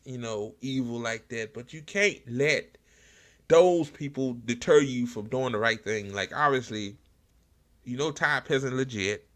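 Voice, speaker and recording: An American man hosting a casual, unscripted political commentary show, talking bluntly in slang and profanity with halting, repetitive phrasing. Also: medium pitch, fairly bright tone. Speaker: online political talk show host.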